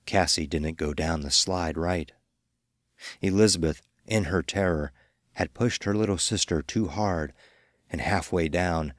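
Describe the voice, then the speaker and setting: A man narrating a scripted horror story as a dramatic, measured storyteller. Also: sits low, no room sound, clean studio sound.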